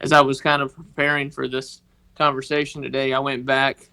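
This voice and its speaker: A man with a Mississippi accent, telling a personal story on a podcast in a halting, earnest way. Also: mid-range voice, little pitch movement, vocal fry, bright, forceful tone, clean tone. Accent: Southern US, Mississippi. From northeast Mississippi, United States. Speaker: podcast guest, organization member telling his story.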